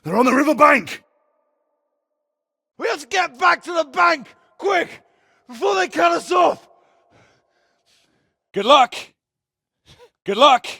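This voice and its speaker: A cynical medieval sellsword boss for a game, patronizing, very animated intonation, dry close sound. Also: male voice, extremely high pitch, slow, vocal fry, long pauses, no room sound, shouting, heavily acted. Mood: urgent shouting. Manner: sardonic, pragmatic, patronizing. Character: wary, self-serving male mercenary captain in a medieval world. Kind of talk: video game character dialogue.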